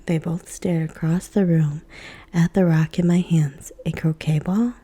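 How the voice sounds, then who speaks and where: A woman reading a children's story aloud in a soft, unvoiced whisper. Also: on the low side, little pitch movement, unhurried, breathy edge.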